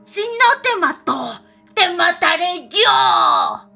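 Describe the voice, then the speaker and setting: A woman's voice: very high voice, huge pitch swings, very slow, vocal fry, sharp tone, soft background music, exaggerated voice. A woman playing a bitter, fierce old woman in her seventies, severe and accusing, in a horror audio drama. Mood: furious. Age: 70s.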